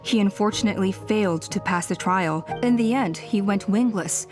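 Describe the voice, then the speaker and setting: An actress plays a calm guardian woman in a fantasy game. She speaks at a medium pitch in a measured, formal, cautious way, with faint music underneath. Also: natural voice. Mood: recounting. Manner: formal, reasoning. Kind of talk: English video game dub.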